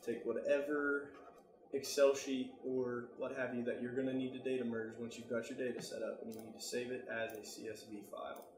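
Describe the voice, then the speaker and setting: An American man giving a casual software tutorial, talking informally and thinking aloud as he works. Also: mid-range voice, level tone, a little fast, echoey room, noisy background. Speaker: tutorial presenter.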